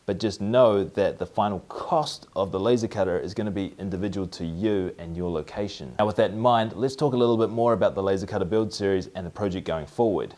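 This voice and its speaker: A man in his thirties with a New Zealand accent talks to camera from his garage workshop. His voice sits a little low, and he explains with upbeat energy and lively hand gestures. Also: fairly quick, few pauses. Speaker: maker video host. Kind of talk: explanatory maker vlog. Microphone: camera at mid-distance, framed from the waist up.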